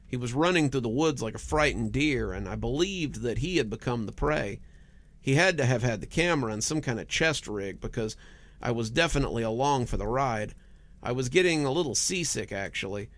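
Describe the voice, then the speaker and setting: A man's voice: medium pitch, fairly bright tone. A man narrating scripted first-person horror stories as a host, with a dramatic storytelling delivery.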